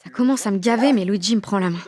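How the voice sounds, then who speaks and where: A woman's voice: medium pitch, rapid-fire, darkish tone, close to an everyday voice. An actress playing a blunt, fiery sixteen-year-old French girl who narrates an audio drama in sardonic slang. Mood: sardonic, irritated. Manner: colloquial, heavily slangy, sardonic.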